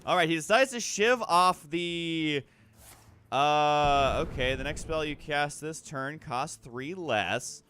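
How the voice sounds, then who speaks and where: Bright, higher-voiced man doing game commentary. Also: slow pace, slight background noise. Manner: self-deprecating, jokily exaggerating. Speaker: host of a gaming video channel. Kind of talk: casual gameplay commentary, recorded voice-over.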